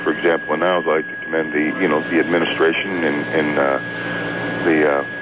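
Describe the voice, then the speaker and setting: A man calling in to talk radio, chatting about politics in a halting, repetitive and emphatic way. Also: very deep voice, flat delivery. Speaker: radio call-in guest. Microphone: telephone. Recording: tinny phone line, noisy hum, radio aircheck.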